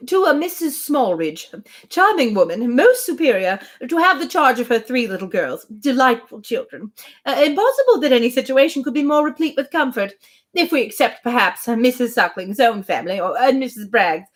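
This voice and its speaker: A woman playing a high, gushing, rambling spinster. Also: sing-song, normal speed. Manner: breathless, digressive, repetitive, effusive chatter. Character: rambling, gushing, anxiously grateful middle-aged spinster. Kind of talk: live read-aloud of a classic novel.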